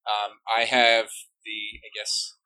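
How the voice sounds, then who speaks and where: A man's voice: on the low side, level tone, takes it slowly, sharp tone, smooth. A man moderating a fan convention panel, joking and often laughing as he talks.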